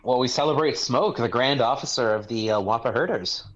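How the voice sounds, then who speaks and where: A man with a nasal voice co-hosting a live Q&A, with playful banter and quick interjections. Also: mid-range voice. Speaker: co-host. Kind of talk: casual live Q&A chat. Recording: dull lo-fi call audio.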